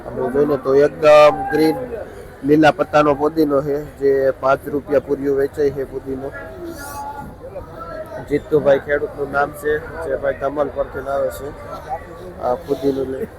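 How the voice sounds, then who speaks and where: A man's voice: fairly high pitch, little pitch movement, normal speed. A man with a Saurashtra Gujarati accent giving matter-of-fact, repetitive vlog commentary on market prices. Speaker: market vlogger reporting produce prices. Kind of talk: on-the-spot vlog commentary with brief questions to vendors. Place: busy outdoor vegetable market. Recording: outdoor market with crowd and vehicle noise. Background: crowd chatter and traffic.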